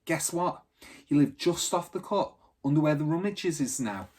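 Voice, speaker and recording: A man reads the part of a bossy young girl in a storybook gang. The voice is husky and emphatic, eager to have her say. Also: on the high side, expressive, normal speed, a little mellow, pitched above the performer's own voice.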